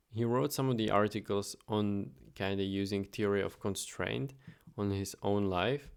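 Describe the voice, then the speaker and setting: A young man casually talking through his daily business update while reading viewer questions, chatty and relaxed, thinking aloud. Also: on the low side, gravelly, clean tone. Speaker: automation agency owner vlogging. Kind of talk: casual screen-share vlog.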